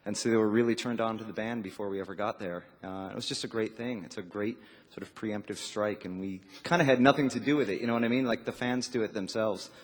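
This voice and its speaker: A man's voice: lowish voice, fast. A man chatting casually and loosely as a musician being interviewed on stage. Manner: informal, loose, peppered with "you know". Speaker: band musician, interview guest. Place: theatre stage at a live radio taping. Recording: echoey theatre hall.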